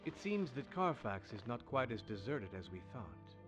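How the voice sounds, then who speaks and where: A man plays a serious game hero at a medium pitch, a formal gentleman troubled by his dark investigation. Music plays clearly behind him. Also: big pitch swings, normal speed, close to an everyday voice. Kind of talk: scripted video game drama.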